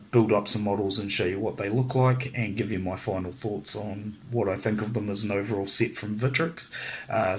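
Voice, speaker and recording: A man's voice: lowish voice, a little hiss or hum. A man with a head cold, chatting easygoing through a hobby product review with many ums. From New Zealand.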